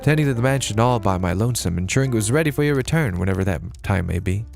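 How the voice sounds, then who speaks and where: A man's voice: on the low side, lively intonation, very fast, creaky, a little mellow. A formal, devoted young maidservant in a dim mansion, eager yet strangely lifeless, as a man puts her on. Her voice sits well above his own natural register. Faint music plays underneath. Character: pale gloomy young female maid, devoted and polite.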